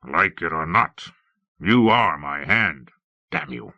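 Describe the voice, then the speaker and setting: Brooding, short-fused monarch, audiobook fantasy, played by a male reader: low, hard-edged, blunt, drawn-out delivery. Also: animated, no room sound, muffled recording, full character voice. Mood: weary, gruff. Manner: blunt, drawn-out, forceful speech. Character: brooding, hot-tempered king, bitter and weary. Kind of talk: audiobook character acting.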